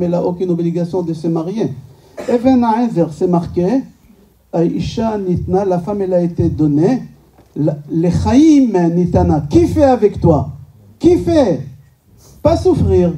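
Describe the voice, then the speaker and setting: Rabbi guy, native hebrew speaker, really sharp forceful voice, jokey lecture full of questions, mic far off in a room. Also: high-pitched. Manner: rhetorical questions, emphatic repetition, joking. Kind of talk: informal religious lecture to a live audience. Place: medium-sized indoor synagogue function room.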